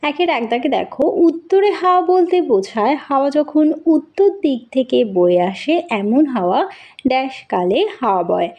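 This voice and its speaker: Woman teacher for children's lesson narration: high voice, very lively intonation, instructive and deliberate. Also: muffled recording. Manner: deliberate, instructive, addressing young pupils directly. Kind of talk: scripted lesson narration with question-and-answer and poem recitation.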